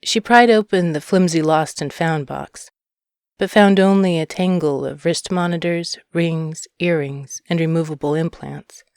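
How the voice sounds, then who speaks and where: A woman's voice: low-pitched, a little slow, darkish tone, clean tone, no room sound. Woman narrator, close dry sound. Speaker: audiobook narrator. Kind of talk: scripted short story narration.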